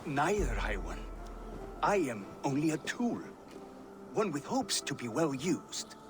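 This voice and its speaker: Courteous, cunning builder, animated pitch. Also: male voice, takes it slowly, music in the background. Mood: deferential, persuasive. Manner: deferential, eloquent, formal. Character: courteous, cunning, humble-seeming wandering master builder. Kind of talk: video game cutscene acting.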